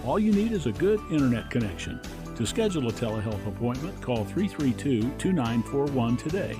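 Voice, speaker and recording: A man announcer reading scripted local radio ads in a clear, steady delivery. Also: mid-range voice, brisk, over music.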